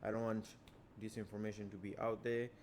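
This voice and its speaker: A fairly low-pitched man whose first language is Portuguese talks viewers through price charts in a loose, casual lesson. He keeps it relaxed and keeps returning to the same stock phrases. A faint hum of ambient noise sits under his voice. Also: fairly quick. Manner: relaxed, repeats stock phrases like "obviously" and "let us dive straight to the charts". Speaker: trading educator.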